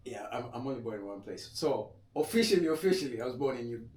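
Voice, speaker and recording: A young man from Kenya talks in an easy, slangy, unhurried way, like a tattoo artist chatting with a friend. He sits back from the microphone, so his voice sounds distant and picks up the room's echo. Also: medium pitch, animated, darkish tone. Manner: laid-back, slangy, laughs often. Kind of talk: casual podcast chat. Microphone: podcast mic set back. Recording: echoey room, voice off-mic.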